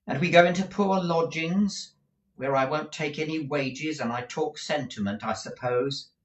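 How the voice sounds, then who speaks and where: A man's voice: fairly high pitch, a little slow, recorded from a distance, voice pushed up, close to an everyday voice. A man voicing an anxious, eager male pantomimist actor. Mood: complaining. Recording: roomy echo.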